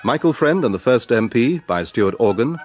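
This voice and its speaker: A man's voice: medium pitch, sing-song, normal speed. A man with a British accent reading formal scripted credits as a radio drama announcer. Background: orchestral music.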